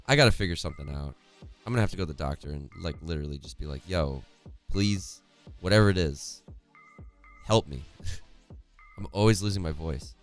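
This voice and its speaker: Young man, hoarse, deep voice, esports caster, chatty, close studio mic, faint music behind. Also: animated, a little fast, pauses often. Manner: chatty, reads chat aloud, laughs often. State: hoarse, voice worn out. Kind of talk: solo esports stream casting, chatting with chat. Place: home streaming room, indoors. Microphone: studio condenser mic close in front, headphones.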